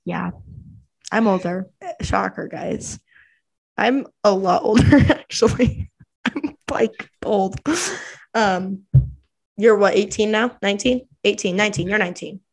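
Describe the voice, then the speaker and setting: A woman's voice: medium pitch, vocal fry. A woman in her twenties hosting a podcast, chatting casually and teasing her guest with frequent laughter. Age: 20s. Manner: teasing, giggly, quick to interrupt. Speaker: podcast host.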